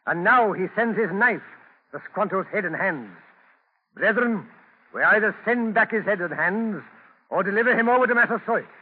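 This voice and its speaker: A man's voice: high voice, animated, moderate pace. An actor playing a hard, suspicious, impatient male colonist, curt and insistent in 1940s radio style. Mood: insistent, hostile. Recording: tinny, echoing old radio recording.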